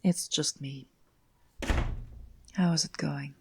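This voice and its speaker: A woman playing a guarded, soothing police detective in an audio drama, with a breathy, often whispery and measured delivery. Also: low-pitched, steady tone, darkish tone, a little hiss or hum, exaggerated voice. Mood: gentle concern. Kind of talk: hushed audio drama acting.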